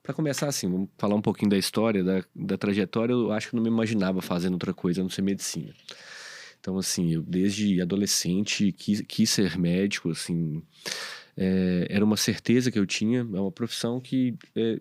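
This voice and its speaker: A man's voice: sits low, fairly quick, a little mellow, no room sound, studio quality. A Brazilian man, a podcast co-host and internist, telling his story in casual chat with many fillers and restarts.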